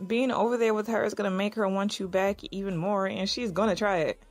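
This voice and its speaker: A woman acting a sharp-tongued, jealous but caring lover in naturalistic audio drama dialogue. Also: mid-range voice, fairly even intonation, fairly quick, close to an everyday voice. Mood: skeptical, jealous. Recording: music behind the voice.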